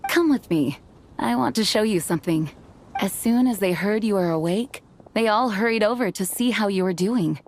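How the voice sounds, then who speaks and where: A woman voicing a calm, caring female knight leader in a game, steady and reassuring. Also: medium pitch, normal speed, slight background noise, lightly acted. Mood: kind. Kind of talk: game voice acting.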